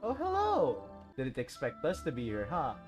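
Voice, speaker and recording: A woman streamer gives casual playthrough reactions in a low, expressive voice. She is chatty and tends to echo what she hears. Faint game music sits behind her. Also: normal speed. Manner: chatty, echoes the dialogue. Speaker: gaming streamer.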